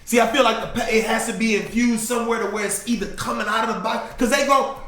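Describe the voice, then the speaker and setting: A man with a very high voice and a stuffed-up nose chats loudly as a podcast co-host. He swears freely and laughs often. The room around him sounds echoey. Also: fairly even intonation, fast talker. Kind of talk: casual chat.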